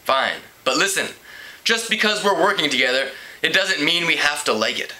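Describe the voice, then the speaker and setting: A man's voice: on the high side, animated, normal speed, bright, forceful tone, rough recording. A man voicing a sulky, curt teenage schoolgirl while reading a storybook. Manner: grudging, curt.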